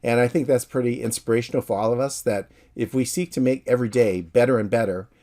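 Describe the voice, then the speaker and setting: A middle-aged American man, a professor from San Francisco, giving a calm, friendly, measured course introduction. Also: medium pitch. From San Francisco, USA.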